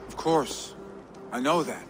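A man's voice: mid-range voice, expressive, slow pace, music in the background. A male actor playing a restless, roguish young Viking warrior in cinematic game dialogue, heartfelt and dramatic. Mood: earnest, restless.

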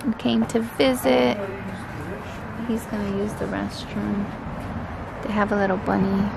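A woman's voice: mid-range voice, steady tone, measured pace, vocal fry. A woman in her twenties chatting upbeat to a handheld camera, in a car and outdoors. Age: 20s. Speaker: vlogger. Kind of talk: casual vlog chat. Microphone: handheld camera at arm's length. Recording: loud background noise.

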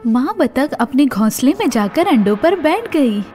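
Female kids' story narrator, high register, swooping intonation, music bed behind. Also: big pitch swings. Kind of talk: animated scripted children's story narration.

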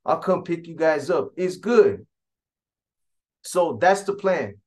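A man's voice: on the high side, lively intonation, takes it slowly. A middle-aged Californian man casually recounting a street crime story at his desk, slangy and conversational, talking straight to his viewers. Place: small home room with decorated shelves.

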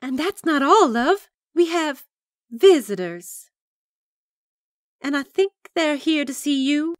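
A woman voicing a bold, lusty, sharp-tongued young frontier woman in a comic book dub, with theatrical emphasis. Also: sits high, expressive, slow, no room sound, clean studio sound. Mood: urgent.

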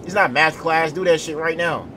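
A man's voice: a little high, normal speed, sharp tone, a little hiss or hum. A young American man talking in profane, slangy game roleplay with a brash, emphatic manner. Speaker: streamer playing a gang member in online roleplay. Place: indoor gaming setup. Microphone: headset.

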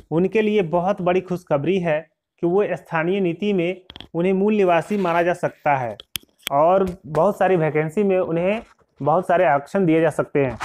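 A man presenting an informal news update as a channel host, explaining things directly to his viewers and at times reading aloud. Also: sits high.

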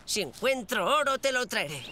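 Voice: medium pitch, sing-song, normal speed, close to an everyday voice. An actor voicing a cheeky, mischievous young boy in a cartoon dub. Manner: cheeky, boisterous.

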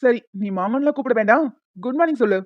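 Cocky young college guy, voiced by a woman, high-pitched. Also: fast, no room sound, lo-fi sound, lightly acted. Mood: bossy, teasing. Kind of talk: cartoon story dialogue in colloquial Tamil slang.

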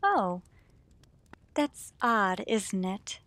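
A woman's voice: mid-range voice, very animated, drawn-out words, fairly bright tone, no room sound, heavily acted. A woman playing a secretive, sweetly domestic suburban wife in a radio play. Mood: defensive.

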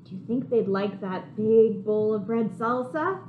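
Woman in her twenties, preschool read-aloud, unhurried, simple teacherly phrasing, faint noise, indoor webcam recording. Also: medium pitch, phone-quality sound. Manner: animated, simple explanatory teacher talk for small children. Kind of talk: children's picture-book read-aloud with questions to the listeners. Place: home kitchen, indoors.

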